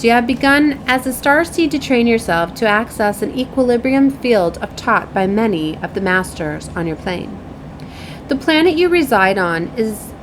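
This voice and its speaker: A woman delivering channeled spiritual guidance as a tarot reader, in solemn, formal, flowery phrasing. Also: fairly high pitch. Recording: clearly noisy background.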